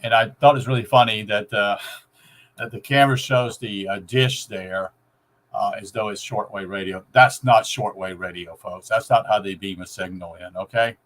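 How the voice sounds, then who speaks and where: A man giving a casual, rambling explanation to viewers in a halting, folksy way. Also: lowish voice, fairly even intonation, a bit bright. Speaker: vlogger explaining radio.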